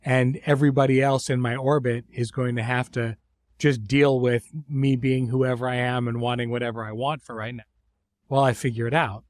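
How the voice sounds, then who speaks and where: A man hosting a conversational interview, speaking thoughtfully in phrases broken by pauses and restarts. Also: medium pitch, level tone, no room sound. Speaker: host, series creator.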